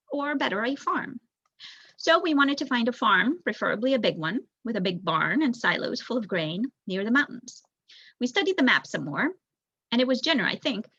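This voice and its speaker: A woman's voice: a little high, a bit bright, breathy edge, no room sound, highs cut off. A young woman reads a storybook aloud as narration, expressively, with an occasional stumble. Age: young adult. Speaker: volunteer audiobook reader.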